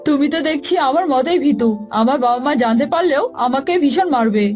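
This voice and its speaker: A woman's voice: high voice, brisk, natural voice. A woman voicing an anxious, devoted young groom in a cartoon, earnest and pleading. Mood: shy, earnest. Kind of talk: cartoon dialogue acting. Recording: music bed behind voice.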